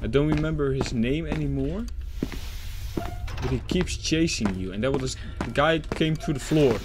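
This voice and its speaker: A man's voice: fairly high pitch, animated, normal speed, music in the background. A young man with a Dutch accent, commentating a horror game as he plays, jumpy and swearing when startled. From Netherlands. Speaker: gaming YouTuber.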